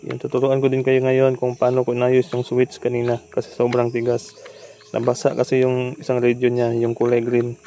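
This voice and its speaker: A man's voice: medium pitch, brisk. A man with a Filipino accent casually talks through a hands-on repair tutorial, informal and instructional as he works. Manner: informal, instructional, talking while working. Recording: steady background noise with insect-like chirping.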